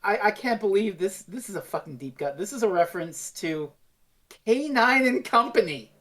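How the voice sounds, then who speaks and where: A podcast co-host talks in a casual, conversational review style. The voice sits at a middle pitch and drops swear words freely. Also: lively intonation, moderate pace, fairly bright tone. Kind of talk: casual chat.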